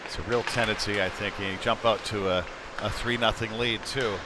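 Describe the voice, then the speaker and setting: A man's voice: lowish voice, fairly even intonation, moderate pace. A man giving live ice hockey play-by-play in a conversational, fragmented way, following the action phrase by phrase. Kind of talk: live sports commentary. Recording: noisy live rink broadcast.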